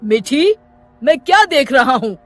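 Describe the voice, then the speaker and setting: A woman voicing a stern, easily alarmed village father in a cartoon story, speaking loudly. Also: sits high, very animated, unhurried, faint music behind. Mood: stern surprise. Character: startled, stern village father, adult man.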